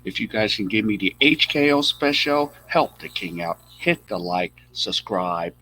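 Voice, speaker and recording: A man's voice: fairly low pitch, lively intonation, measured pace, bright, forceful tone, poor audio. A male host giving loose, casual commentary on a video. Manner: laid-back, loose with fillers.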